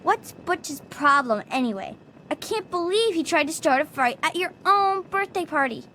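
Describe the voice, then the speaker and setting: Game role: bossy but friendly little girl, high pitch, chatty and teasing, slight background noise. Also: expressive, moderate pace, bright, forceful tone, poor audio. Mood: annoyed, teasing. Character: spirited, friendly ten-year-old girl, protective best friend. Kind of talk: video game acting.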